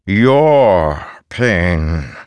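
A deep, haunting spirit of solemn wisdom, played by a male narrator, from a fantasy audiobook. It speaks very slowly and deliberately, weighing each phrase in a close, dry sound. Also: expressive, dry recording, heavily acted. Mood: grave, consoling. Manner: slow, deliberate, measured pronouncements.

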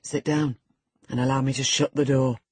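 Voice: mid-range voice, level tone, moderate pace, breathy edge, no echo, lightly acted. A narrator voicing a menacing, sardonic middle-aged man who commands and sneers, in an audiobook of a classic novel. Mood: domineering, sardonic. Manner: sneering, commanding, alternately muttering and shouting.

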